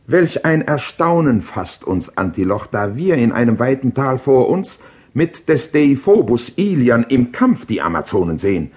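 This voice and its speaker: An actor playing a proud Greek king and war leader who recounts battle in classical verse drama, declaimed with deliberate, rhetorical phrasing. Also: mid-range voice, very animated, a little mellow. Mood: vivid, urgent.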